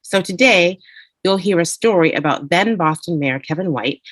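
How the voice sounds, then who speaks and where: A woman's voice: on the low side, animated, moderate pace, dry recording. A woman, a playwright, reading a scripted introduction to a podcast.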